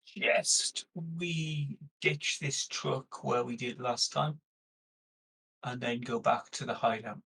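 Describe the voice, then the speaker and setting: A man plays a tabletop roleplaying game with casual suggestions for the group. His voice is creaky and husky. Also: mid-range voice, takes it slowly, a bit bright, poor audio.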